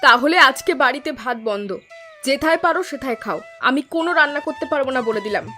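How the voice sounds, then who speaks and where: High voiced nagging cartoon village wife, lady acting, demanding, faint music under it. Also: lively intonation, fast talker, bright, forceful tone. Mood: angry, scolding.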